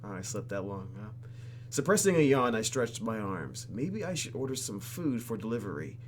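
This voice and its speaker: Male gaming host, lowish voice, highly animated narration and exaggerated reactions, slight background noise. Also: moderate pace. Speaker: YouTube gamer. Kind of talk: let's play reading visual novel text aloud with reactions.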